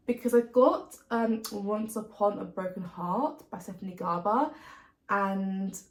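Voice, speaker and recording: A woman's voice: on the high side, creaky, roomy sound. A British woman talking casually and chattily as a book vlogger, informal and given to emphatic repetition. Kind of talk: casual chat to camera about reading plans.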